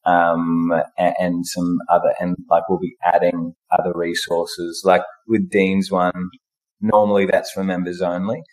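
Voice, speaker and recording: Man, low voice, podcast guest, relaxed, hesitant, lots of ums. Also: measured pace, low-quality recording. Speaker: podcast guest, book club founder. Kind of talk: casual conversation.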